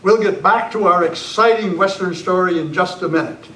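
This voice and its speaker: A man's voice: high voice, moderate pace, a bit bright. A man reading scripted narration as the announcer of an old-time radio show re-enactment. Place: club meeting room, live performance. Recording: echoey noisy meeting room, distant mic.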